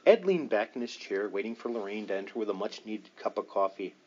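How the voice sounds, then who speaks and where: A man's voice: lowish voice, animated, brisk. Man narrating, dull lo-fi recording. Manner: dramatic storytelling delivery. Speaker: audiobook reader. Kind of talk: scripted narration of a paranormal book.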